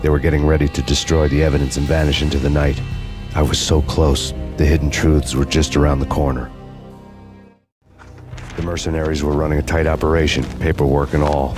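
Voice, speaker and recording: An actor playing a brooding, haunted detective hero, narrating in a deadpan hardboiled noir style. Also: male voice, rumbling low, brisk. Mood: tense, brooding. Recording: music playing behind the voice.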